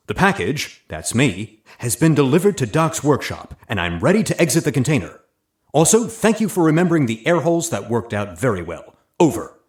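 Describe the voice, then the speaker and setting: An actor playing a chipper, hammy undercover agent with a flamboyant, precise manner in a comic audio drama. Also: male voice, medium pitch, natural voice.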